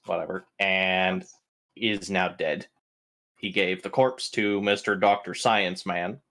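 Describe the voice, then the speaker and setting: Deep, unhurried man recapping a game. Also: a bit bright. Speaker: player in an online tabletop RPG. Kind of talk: casual recap of a game session.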